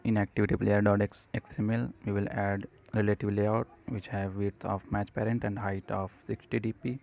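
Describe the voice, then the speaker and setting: A man narrating a step-by-step software tutorial, speaking in halting short phrases as he dictates each step. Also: fairly low pitch, flat delivery, vocal fry. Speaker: tutorial presenter.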